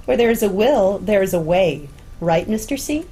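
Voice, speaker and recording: A woman's voice: mid-range voice, expressive, moderate pace, mellow, lightly acted, poor audio. An actress as a lilting, flirty female hologram. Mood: flirtatious. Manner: coy, teasing. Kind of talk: radio play acting.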